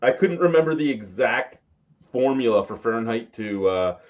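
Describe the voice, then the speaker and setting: Male co-host from Canada, mid-pitched, sweary, animated storyteller, dull lo-fi sound. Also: normal speed. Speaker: beer show co-host. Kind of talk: casual chat and anecdotes. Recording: dull lo-fi call audio.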